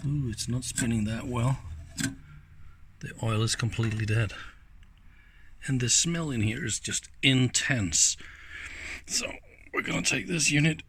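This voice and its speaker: Male teardown host, mid-low voice, leisurely pace, a little rough-edged, casual and enthusiastic running commentary. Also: a little slow, pauses often, fairly bright tone, a little hiss or hum. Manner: informal, enthusiastic, exclaiming and thinking aloud. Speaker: host of electronics teardown videos.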